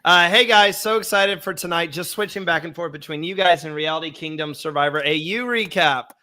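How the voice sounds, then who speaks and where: A young man hosting a live podcast, chatty and upbeat, his voice hoarse as it recovers from being sick. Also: a little high, brisk, bright, forceful tone. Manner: chatty, upbeat, reads viewer comments aloud. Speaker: podcast host.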